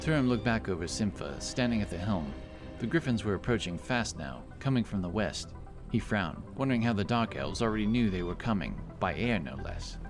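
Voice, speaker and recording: Low-voiced man narrating fantasy. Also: lively intonation, a little fast, music in the background. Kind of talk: scripted dramatic fantasy narration.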